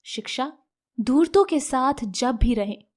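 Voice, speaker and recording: A woman's voice: high voice, expressive, measured pace. A woman narrating a scripted animal fable for children as a storyteller.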